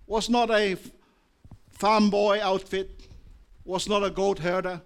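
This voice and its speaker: A man with an Australian accent preaching a sermon as a pastor, moving from low, measured talk to loud, impassioned preaching. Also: fairly even intonation, creaky, clear, resonant tone.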